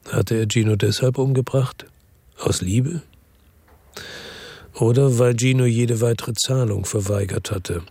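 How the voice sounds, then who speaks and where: Weary noir investigator, husky low voice, terse first-person radio drama narration, close dry studio sound. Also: male voice, mellow, no room sound, full character voice. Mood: bitter, resigned. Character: weary hard-boiled male investigator narrating his own story. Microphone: close dry studio microphone.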